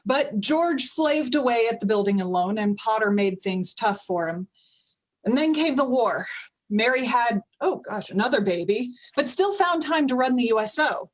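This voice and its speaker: A woman's voice: a little high, low-quality recording. A woman playing a wise head angel who explains a story conversationally in a radio play.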